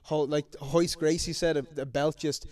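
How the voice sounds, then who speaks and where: A young man raised in Ireland, a fighter chatting casually as a guest, with halting speech and lots of fillers. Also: fairly high pitch, fairly even intonation, a little mellow, no echo. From raised in Ireland, born in America. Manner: halting, many fillers and restarts. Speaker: guest, amateur MMA fighter. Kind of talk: casual interview chat.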